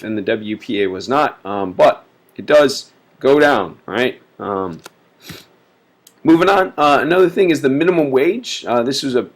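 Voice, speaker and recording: A man's voice: medium pitch, vocal fry. A man giving a casual recorded history lecture, full of filler words, sick and sniffly. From United States. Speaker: teacher.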